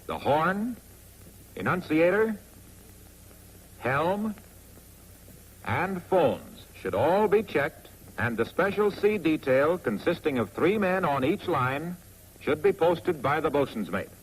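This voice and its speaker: Lively, higher-pitched American 1940s film narrator, man. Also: slow, pauses often, breathy edge, poor audio. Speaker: narrator of a military training film. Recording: vintage 1940s film soundtrack.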